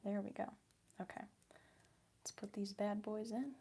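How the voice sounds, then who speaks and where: Young Midwestern woman, ASMR host: soft whisper-to-murmur delivery, gravelly fry, chatty and giggly, mid-range pitch. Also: level tone, unhurried, background noise. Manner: soft-spoken, drifting between whisper and quiet voice; chatty and giggly, answering viewers. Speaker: ASMR creator. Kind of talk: ASMR chat while crafting.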